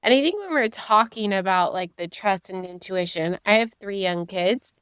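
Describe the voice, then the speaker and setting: Woman, 30s, podcast host, affirming, admiring, smooth resonant voice, dry close mic. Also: mid-range voice, lively intonation, moderate pace, a bit bright, no echo. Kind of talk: conversational interview hosting.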